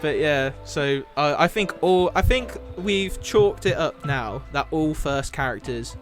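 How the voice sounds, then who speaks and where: A man's voice: fairly high pitch, lively intonation, unhurried, faint music behind. A man from the UK co-hosting a casual podcast, chatty and laughing often, his sentences full of "like". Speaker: podcast co-host. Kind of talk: casual chat about tabletop games.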